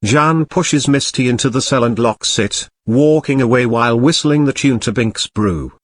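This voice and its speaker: A man's voice: medium pitch, normal speed, dry recording, studio quality. A man reading scripted narration for an audio drama.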